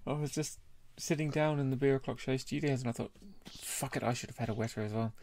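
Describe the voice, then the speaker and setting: A man's voice: mid-range voice, fast, a little mellow, a little hiss or hum. A British man co-hosting a casual beer podcast in a blokey, informal, sweary way. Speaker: podcast co-host.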